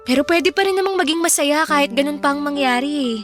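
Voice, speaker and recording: An actress playing a gentle, sensible Filipino teenage daughter in a radio drama, earnest and soothing. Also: female voice, sits high, quick pace, faint music behind. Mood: pleading.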